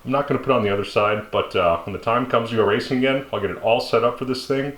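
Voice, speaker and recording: A man's voice: medium pitch, quick pace, a bit bright. A man explaining hands-on car repair in a casual, conversational way, as a vlogger in his garage. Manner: informal, conversational. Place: indoor garage workshop. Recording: noisy garage with background hum.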